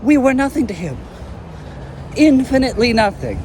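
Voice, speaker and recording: A man's voice: very high-pitched, sing-song, moderate pace, darkish tone, slight background noise. A man voicing a bitter, resentful former villain in an audio drama, speaking in a cold, cutting way.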